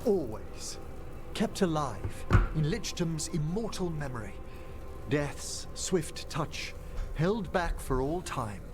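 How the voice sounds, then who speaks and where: A man's voice: on the high side, big pitch swings, slow pace. An actor playing a dignified older male necromancer in a video game, formal, eloquent and tender. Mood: torn, earnest. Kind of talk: theatrical video game acting. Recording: music behind the voice.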